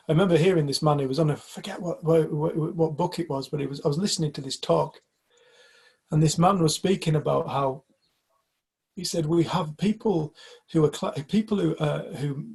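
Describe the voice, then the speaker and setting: A man's voice: on the high side, creaky, a little mellow, slightly rough. A man from the UK in a casual group discussion, talking reflectively and hesitantly, often restarting his sentences. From United Kingdom. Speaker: community group member.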